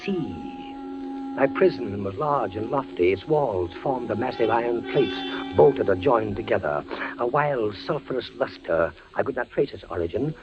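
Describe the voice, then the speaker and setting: An actor playing a frail, desperate condemned prisoner in a halting, breathless, theatrical 1940s radio style. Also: male voice, mid-range voice, close to an everyday voice. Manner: halting, breathless, theatrical delivery with repeated words. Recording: muffled 1940s radio recording with music.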